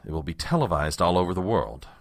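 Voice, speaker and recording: An actor playing a harried, world-weary chief of staff in a comedic audio drama, formal and exasperated. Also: male voice, low-pitched, expressive, fast talker, dry recording. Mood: patiently frustrated. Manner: formal, long-suffering, exasperated.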